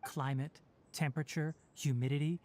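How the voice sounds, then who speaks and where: A woman playing a composed, analytical scholar-doctor in a video game, speaking in a measured, clinical, matter-of-fact way. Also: deep voice, fairly even intonation, warm tone, natural voice. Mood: clinical, explanatory. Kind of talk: scripted game dialogue.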